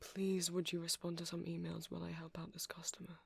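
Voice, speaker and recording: A soft-spoken man doing a rambling ASMR roleplay as a shopkeeper, hesitant and apologetic in manner. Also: on the high side, monotone, fairly quick, creaky, husky, no room sound. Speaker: character performer playing a shopkeeper.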